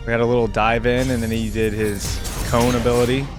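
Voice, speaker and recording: A man's voice: mid-range voice, level tone, fairly quick, music in the background. A man giving casual, informal gaming reaction commentary as a video host, enthusiastic and rambling.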